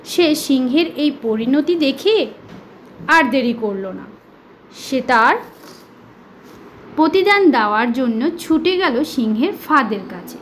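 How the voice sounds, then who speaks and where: A woman with a high voice tells a children's story. Her delivery is slow, expressive and dramatic, each phrase set out deliberately. Faint noise sits behind the voice. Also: rough recording.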